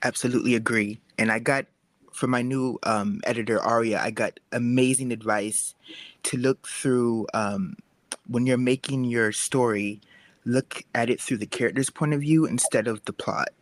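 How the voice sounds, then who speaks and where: A man's voice: mid-range voice, steady tone, moderate pace, no echo. A man explaining his craft earnestly in a casual panel talk. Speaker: guest webcomic creator. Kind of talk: casual panel talk giving storytelling advice.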